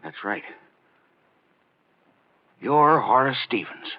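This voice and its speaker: An actor playing a brash, callous newspaper editor in barking, impatient 1940s radio drama style. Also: male voice, mid-range voice, lively intonation, takes it slowly. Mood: stunned realization. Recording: muffled old 1940s radio recording.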